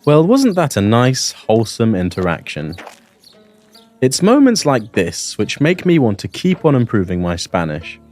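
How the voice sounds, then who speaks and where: A man with a London accent, hosting a Spanish lesson and speaking clearly, at a teaching pace. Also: on the low side, a little mellow. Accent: London English. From England. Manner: friendly, clear, teaching pace with repeat-after-me pauses. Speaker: language course host. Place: outdoors in a public garden.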